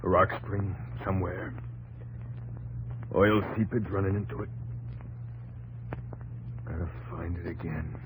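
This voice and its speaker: A man's voice: low-pitched, steady tone, a little slow, long pauses. A young man playing a determined, desperate desert prospector with intense delivery in a 1950s radio drama. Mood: subdued, stubborn. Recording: noisy old 1950s radio broadcast.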